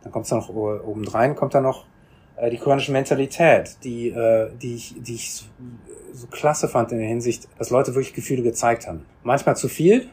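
Husky German-born man telling his story. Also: medium pitch, moderate pace, darkish tone. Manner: reflective, many restarts and repeated words. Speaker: podcast guest. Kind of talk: casual conversational storytelling.

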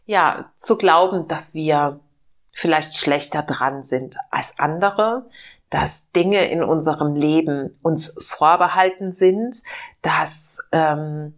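A woman host giving a reflective self-help talk, slightly hoarse from a cold, gentle and searching with many fillers. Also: low voice, takes it slowly. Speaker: podcast host.

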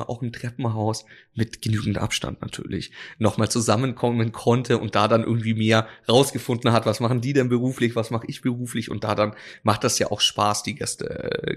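A man, a drinks expert and interview guest, talking casually and rambling with many fillers and restarts. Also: lowish voice. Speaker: drinks brand ambassador, guest.

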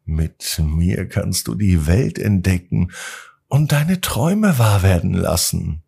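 Man as a softly whispering magical balloon. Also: sits low, lively intonation, slow pace, full character voice. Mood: soft, enticing. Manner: soft, breathy whispering. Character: gentle magical talking balloon, whispering promises of adventure.

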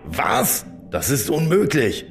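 Slow, gravelly male villain played by an actor. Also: mid-range voice, huge pitch swings, faint music behind, exaggerated voice. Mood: cold, commanding. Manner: imperious, menacing. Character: cold, ruthless male villain commander. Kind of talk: German audio drama acting.

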